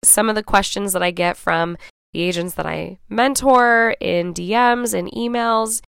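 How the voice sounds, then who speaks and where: A woman hosting her own podcast in a casual, chatty way, hoarse and raspy from a cold, often checking in with "right?" and "okay?". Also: mid-range voice, unhurried, fairly bright tone, no room sound, studio quality. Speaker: podcast host. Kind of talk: casual solo podcast talk.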